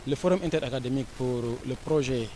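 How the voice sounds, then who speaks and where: A man with a Senegalese accent speaks as an education official in a formal interview. His delivery is measured and deliberate, with frequent pauses and fillers at a middle pitch. A faint ambient hum sits behind him. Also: mellow.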